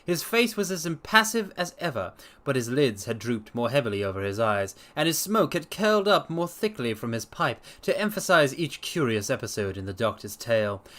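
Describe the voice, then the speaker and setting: A man's voice: mid-range voice, big pitch swings, normal speed, few pauses, a bit bright. A man streaming a dramatic reading, narrating a detective story and chatting casually with his audience.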